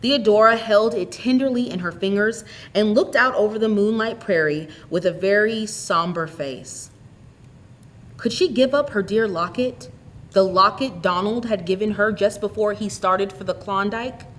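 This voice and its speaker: A woman reading a short story aloud as an expressive, scripted narrator. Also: medium pitch, moderate pace, a little hiss or hum. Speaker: story reader.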